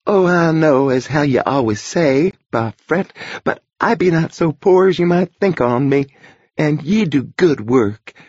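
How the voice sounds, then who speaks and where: A man's voice: fairly high pitch, animated, a little slow, a little mellow, no echo, lo-fi sound, voice pushed up. A man reading an audiobook voices a toothless old countrywoman who talks in a folksy old-fashioned dialect. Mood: insistent. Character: old toothless rustic tomato woman, wheedling.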